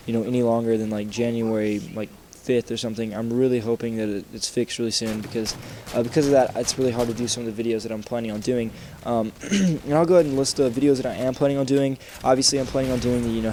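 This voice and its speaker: A young man giving rambling casual commentary over gameplay, sounding congested and clearing his throat, with lots of fillers and restarts. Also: mid-range voice, darkish tone, a little hiss or hum. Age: young adult. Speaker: gaming channel host.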